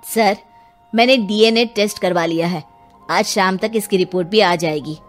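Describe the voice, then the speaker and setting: A woman's voice: medium pitch, moderate pace, soft background music, close to an everyday voice. Woman as an earnest, respectful police inspector. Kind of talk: Hindi animated moral story dialogue.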